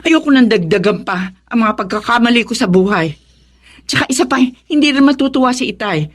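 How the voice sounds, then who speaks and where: A woman's voice: fairly high pitch, lively intonation, a little fast. An actress playing a devout, principled and devoted single mother in a Filipino radio drama, speaking earnestly. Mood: troubled, firm.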